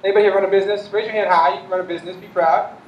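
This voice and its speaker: A man's voice: sits high, fairly even intonation, very fast, a little mellow, slight background noise. A man moderating a panel, energetically addressing the audience with questions. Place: large conference hall. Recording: echoey hall from far off.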